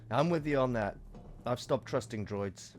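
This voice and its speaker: A man with a croaky voice from a cold, chatting through gameplay commentary with joking asides. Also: mid-range voice, moderate pace, darkish tone. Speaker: let's play host.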